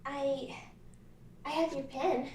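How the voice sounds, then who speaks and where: A man reading the part of a shy young girl who speaks in a hesitant, soft way. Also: very high voice, steady tone, slow, roomy sound, recorded from a distance, a little hiss or hum, voice pushed up.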